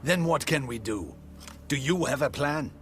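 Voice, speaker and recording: An actor playing a proud, scornful captain of the guard in a video game, speaking in a pompous, formal manner. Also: male voice, mid-range voice, expressive, a little slow, a little hiss or hum, lightly acted. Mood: incredulous. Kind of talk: video game cutscene acting. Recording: dramatic music behind.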